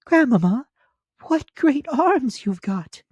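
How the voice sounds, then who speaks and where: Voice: high-pitched, very animated, takes it slowly, a little mellow, breathy edge, no room sound, pitched above the performer's own voice, full character voice. A man voicing an innocent, naive little country girl in a fairy tale, trusting and wide-eyed with a singsong delivery. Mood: amazed.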